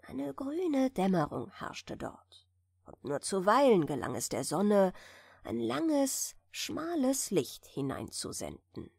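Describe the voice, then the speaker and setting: A woman gently narrating a bedtime fairy tale in a soft, soothing manner. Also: mid-range voice, huge pitch swings, slow pace, creaky, husky, dry recording, clean studio sound. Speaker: audiobook reader.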